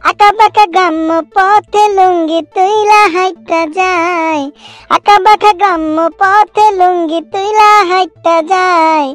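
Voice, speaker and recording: A man voicing a cocky, silly schoolboy in a comic cartoon, with a sing-song manner and a pitched-up voice. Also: fairly even intonation, measured pace, fairly bright tone. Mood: playful singing. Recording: music behind the voice.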